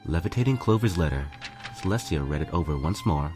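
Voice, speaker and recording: A man's voice: deep voice, expressive, brisk, over music. A man reading a first-person fan fiction story as scripted narration.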